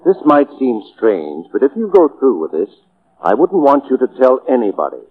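Casting: a genial, persuasive senator in 1940s radio style, mid-pitched with wide swings, phone-like sound. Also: male voice, wildly swooping pitch, moderate pace. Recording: tinny old-time radio recording.

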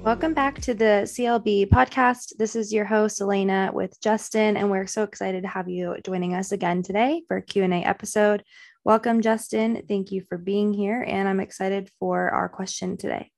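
Woman host with a slightly raspy voice. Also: mid-range voice, fairly even intonation, normal speed, darkish tone, faint music behind. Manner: upbeat, friendly introductions. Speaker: podcast host. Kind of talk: casual podcast hosting.

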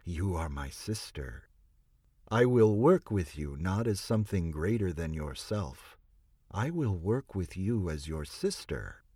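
A man voicing a serene, benevolent female owl spirit guide in an audiobook, gentle, solemn and reassuring. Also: sits low, sing-song, takes it slowly, husky, no room sound, studio quality, heavily acted. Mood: tender, affirming.

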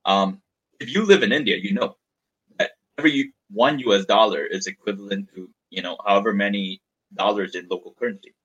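A man's voice: on the low side, a little fast, fairly bright tone, husky, dull audio. A man in his thirties from Malaysia, a startup founder being interviewed, speaking frankly and rambling a little as he tells his story. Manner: frank, rambling, repeats words while thinking. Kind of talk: candid conversational storytelling.

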